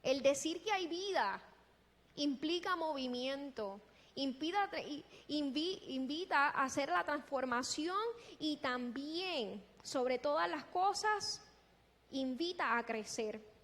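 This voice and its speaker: A woman preaching a sermon in a deliberate, emphatic way, posing rhetorical questions. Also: high-pitched, expressive, a bit bright. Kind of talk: sermon with scripture exposition. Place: large echoing church hall. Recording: long reverberant hall sound.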